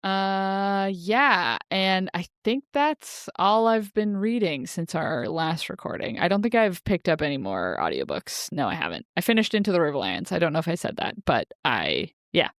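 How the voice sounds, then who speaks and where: A woman's voice: fairly low pitch, sing-song, normal speed, few pauses, dry recording, studio quality. A woman co-hosting a podcast, chatting casually about books in a playful, jokey manner. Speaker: podcast co-host. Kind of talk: casual book discussion chat.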